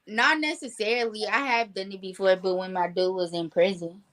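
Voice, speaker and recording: A woman's voice: mid-range voice, steady tone, moderate pace, a bit bright. A woman co-hosting a casual chat, playful and teasing, laughing often.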